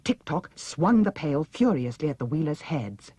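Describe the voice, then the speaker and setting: A woman's voice: sits low, sing-song, normal speed, mellow, slightly rough, no room sound. A woman reading a children's audiobook as a dramatic scripted narration. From United Kingdom.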